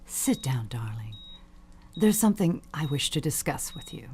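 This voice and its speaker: A woman's voice: deep voice, sing-song, normal speed, warm tone, husky, heavily acted. An actress playing an elderly, dying perfume mogul, an imperious and cutting mother with genteel, falsely sweet manners. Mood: condescending. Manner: genteel, commanding, condescending endearments. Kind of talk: audio suspense drama acting.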